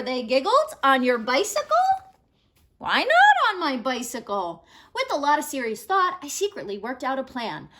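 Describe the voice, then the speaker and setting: Female teacher reading a children's story: high, bright voice, expressive and emphatic. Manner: animated, instructive, emphatic storytelling delivery. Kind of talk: expressive children's picture-book read-aloud. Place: small living room at home, indoors.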